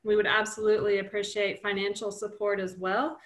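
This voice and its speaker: A woman's voice: medium pitch, brisk. Chatty woman on a roomy video call. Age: middle-aged. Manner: relaxed, chatty, many fillers and restarts. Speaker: wildlife nonprofit director presenting a webinar. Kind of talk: casual presentation on a video call.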